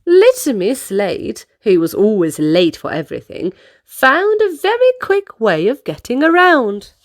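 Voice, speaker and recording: A woman reading a picture book aloud to young children in an animated, expressive storytelling style. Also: fairly high pitch, measured pace. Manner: animated, addresses young listeners directly.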